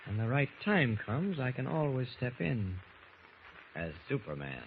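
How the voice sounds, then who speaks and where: An actor playing an upright, shrewd hero in civilian guise, speaking earnestly and firmly in a 1940s radio drama. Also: male voice, mid-range voice, big pitch swings, unhurried. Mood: suspicious, confiding. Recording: muffled, noisy old radio recording.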